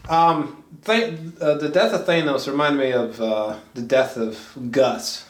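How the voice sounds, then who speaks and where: Guy hosting a movie review, halting n rambly, swears, medium pitch, echoey room. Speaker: film review host. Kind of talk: casual movie review chat. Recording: roomy echo, distant from mic.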